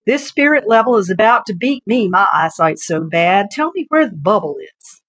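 A woman's voice: medium pitch, lively intonation, normal speed, fairly bright tone, slightly rough, no echo, lo-fi sound. A woman voicing a kindly, folksy old henkeeper with rural turns of phrase in a short story reading. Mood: friendly, wry.

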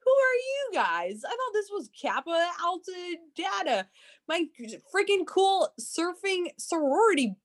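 A woman's voice: extremely high pitch, sing-song, a little slow, heavily acted. A woman playing a breezy, clueless surfer frat bro in an improv comedy sketch, casual and slangy.

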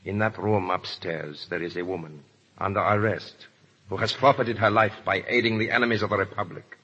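An actor playing a cold, menacing agent of revolutionary France in 1930s radio drama, clipped and icily polite. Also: male voice, on the low side, steady tone, a little fast, sharp tone, breathy edge. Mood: coldly triumphant. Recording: muffled 1930s radio broadcast, hall reverb.

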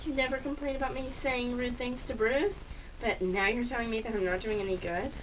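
A woman's voice: on the high side, fairly even intonation, brisk, lightly acted. A woman voicing a sassy, mean pet cat with a sarcastic, haughty manner in an amateur radio comedy. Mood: snide. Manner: sarcastic, haughty, reading from a script. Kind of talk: amateur old-time radio comedy drama. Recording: distant mic with faint background noise.

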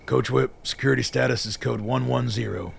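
An actor playing a weary, gruff aging army colonel with a gravelly voice and clipped military delivery in a radio drama. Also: male voice, medium pitch, fairly even intonation, brisk, a little hiss or hum. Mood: brisk, commanding. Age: older.

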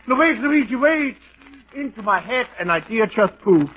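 A blustery know-it-all. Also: male voice, very high voice, animated, normal speed. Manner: blustering, jokey, mangles idioms. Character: blustery German immigrant man, bossy know-it-all. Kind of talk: 1940s radio acting. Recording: dull old radio broadcast with clear hiss.

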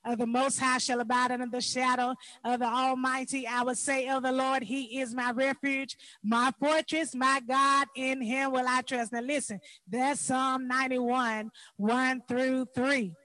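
A woman who hosts a faith show as an apostle reads scripture and leads a fervent, preacher-style prayer. Her voice sits high, and the recording sounds dry and close, with no room echo. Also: little pitch movement, a little slow, few pauses, bright, forceful tone. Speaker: host and apostle. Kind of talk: scripture reading, prayer and interviewing.

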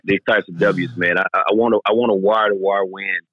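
Hoarse, raspy low-voiced man. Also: fairly quick, no echo, lo-fi sound. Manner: animated and emphatic, says "man" a lot. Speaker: podcast co-host. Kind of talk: casual sports chat.

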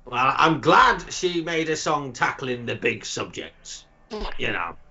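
A man hosting a crude comedic chat, rambling and stammering with sudden shouty outbursts. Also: medium pitch, expressive, slow. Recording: slightly muffled with faint noise.

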